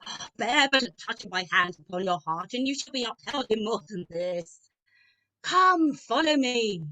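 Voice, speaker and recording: Woman as solemn ghostly spirit, high voice, sweeping intonation, declamatory, commanding, radio drama. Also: expressive, sharp tone, rough recording. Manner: slow, stately, declamatory. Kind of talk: radio play rehearsed reading over video call. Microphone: video call.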